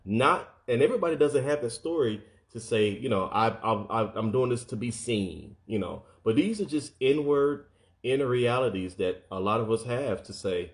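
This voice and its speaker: A man's voice: medium pitch, expressive, moderate pace. A man giving an earnest motivational talk, speaking straight to his listeners, often repeating words and acting out quoted speech.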